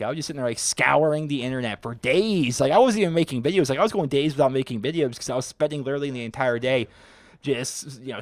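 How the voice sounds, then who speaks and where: Twenties guy from western Pennsylvania, hoarse from a cold, rapid rambling gaming vlogger. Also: mid-range voice. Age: 20s. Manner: rambling, heavy filler use. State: sick, raspy voice. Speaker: gaming YouTuber. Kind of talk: casual vlog chat.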